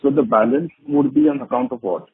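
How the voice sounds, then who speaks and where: A man's voice: medium pitch, fairly quick, background noise. A man speaking as an analyst, putting questions on an earnings call. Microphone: phone line. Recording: muffled phone conference line.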